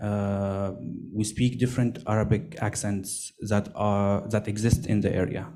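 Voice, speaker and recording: A man in his thirties whose first language is Kurdish, speaking as a panelist in a large glass-walled room, thoughtful and halting with restarts into a handheld microphone. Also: fairly low pitch, level tone, mellow. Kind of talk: panel discussion.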